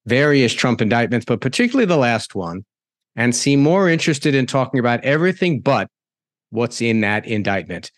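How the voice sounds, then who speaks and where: A man's voice: mid-range voice, no room sound, studio quality. A middle-aged man hosting a talk podcast, chatty and rambling, often breaking off and restarting mid-sentence. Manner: rambling, self-interrupting, chatty. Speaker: podcast and radio host. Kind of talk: conversational hosting.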